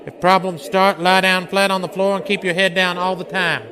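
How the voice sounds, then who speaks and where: A man's voice: sits high, flat delivery, moderate pace, crowd noise, natural voice. A man playing a stern, world-weary marshal in a Western radio drama, with a commanding, curt manner. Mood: steady, commanding. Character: stern, world-weary male frontier marshal facing down lynch mobs.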